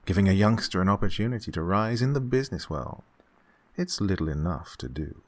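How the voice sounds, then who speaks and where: A man's voice: sits low, lively intonation, a little fast. A man playing a falsely genial, sinister employer in a radio suspense drama, over-courteous, unctuous and insistent. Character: falsely genial, sinister male employer luring a young woman. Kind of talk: old-time radio suspense drama acting.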